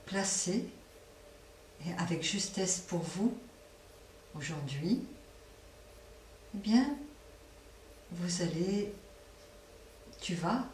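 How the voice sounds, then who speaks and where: A woman leading a guided relaxation as a yoga nidra teacher, speaking softly and unhurriedly with long pauses between phrases. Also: fairly low pitch. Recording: roomy echo, distant mic, faint noise.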